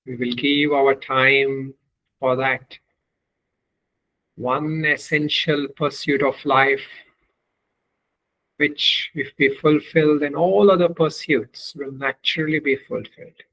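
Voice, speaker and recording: A man leading a guided meditation as a teacher, speaking in measured, deliberate phrases with long pauses between them. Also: medium pitch, steady tone, bright, forceful tone, poor audio.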